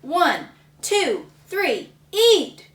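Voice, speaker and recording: A woman voicing a bossy male judge at a pie eating contest who calls out loud countdowns. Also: fairly low pitch, huge pitch swings, slow, voice pushed down, exaggerated voice. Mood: announcing. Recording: roomy, slightly distant mic.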